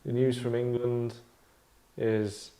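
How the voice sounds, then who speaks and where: A man hosting an online table read in casual, wry chat, with a deadpan, mock-formal manner. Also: medium pitch, slow. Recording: roomy echo.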